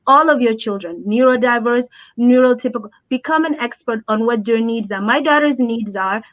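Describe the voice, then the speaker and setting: A fairly high-voiced woman speaks with earnest conviction, coming back to her main points again and again. Her voice comes through a muffled, lo-fi remote call. She talks as an interview guest and advocate. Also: moderate pace. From born in Abu Dhabi. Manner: earnest, heartfelt, repeats key phrases. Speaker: guest, special education advocate. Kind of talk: conversational interview answers.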